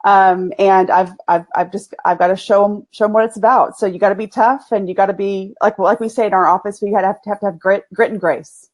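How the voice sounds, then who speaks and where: A woman's voice: mid-range voice, steady tone, brisk, hardly any pauses, a little mellow. Upbeat woman with an East Texas accent. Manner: laughs often, folksy and upbeat, restarts phrases. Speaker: guest, agency owner. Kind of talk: casual interview chat.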